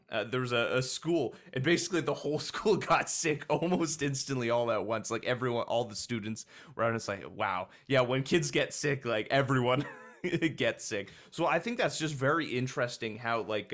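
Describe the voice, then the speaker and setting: A man's voice: medium pitch, a bit bright. A man interviewing a doctor in a loose, casual way, with lots of "like" and "um" fillers. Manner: loose, many likes and fillers.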